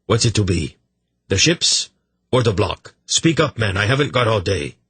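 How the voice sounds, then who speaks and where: A man reading an audiobook voices a sly, excitable young king who commands his generals in a sharp, imperious way. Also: fairly low pitch, normal speed, breathy edge, no room sound, pitched above the performer's own voice, full character voice. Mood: commanding. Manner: sharp, imperious, then gleeful.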